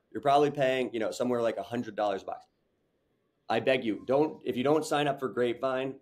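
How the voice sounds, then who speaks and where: A young man, a startup founder, talking earnestly on a video call interview, with many fillers and restarts. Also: medium pitch. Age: young adult. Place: home room with large window.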